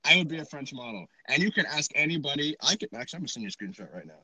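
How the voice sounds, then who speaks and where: A man's voice: fairly high pitch, sing-song, racing, sharp tone, slightly rough, dry recording, poor audio. A young man from Texas hosting a casual podcast chat, playful and joking, laughing often. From Dallas, Texas. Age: young adult. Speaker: podcast host.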